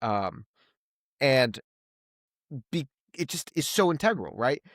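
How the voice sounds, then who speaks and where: A man's voice: mid-range voice, very animated, slow pace, a bit bright, no room sound, clean studio sound. A man in a casual podcast-style book discussion, thinking aloud and often rephrasing as he goes. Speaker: podcast host reviewing books.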